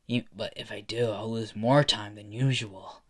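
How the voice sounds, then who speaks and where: A man's voice: lowish voice, fairly even intonation, normal speed, a bit bright, breathy edge. A man playing a kindly, protective but weary grown hero, with a casual, reassuring and hesitant manner, in an amateur story retelling.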